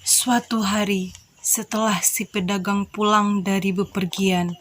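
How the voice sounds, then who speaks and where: A young woman telling a children's folk tale in a slow, expressive storytelling style, with faint noise behind her. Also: medium pitch.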